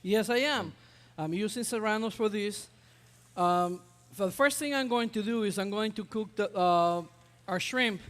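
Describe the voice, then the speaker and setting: Brief: high-pitched man, chef demoing on stage, chatty and halting, long hall reverb. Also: a bit bright. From Ecuador. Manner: chatty, hesitant with many uh fillers. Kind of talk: live cooking demonstration.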